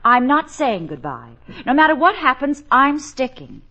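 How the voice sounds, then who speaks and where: An actress playing a loyal, spirited young secretary, earnest and coaxing, in old radio comedy-drama style. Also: female voice, sits high, very animated, normal speed, pushed and bright, full character voice. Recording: dull, band-limited old radio recording.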